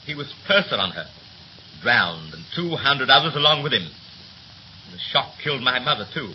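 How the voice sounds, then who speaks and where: An actor in a 1930s radio play as a young third officer, brisk and slangy, chatty yet scornful and resentful. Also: male voice, mid-range voice, very animated, natural voice. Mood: bitter, indignant. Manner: brisk, breezy, clipped slangy speech. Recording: muffled, hissy 1930s radio recording.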